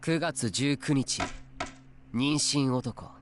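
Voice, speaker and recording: A male actor playing an earnest, insistent teenage schoolboy sleuth in a Japanese visual novel, speaking deliberately. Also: mid-range voice, background noise, lightly acted. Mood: grave.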